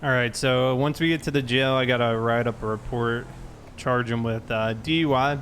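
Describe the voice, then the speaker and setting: Male police roleplayer, mid pitch, procedural and polite, faint background noise. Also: fairly even intonation, moderate pace, fairly bright tone. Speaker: streamer role-playing a sheriff's deputy. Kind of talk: police roleplay game talk and radio calls.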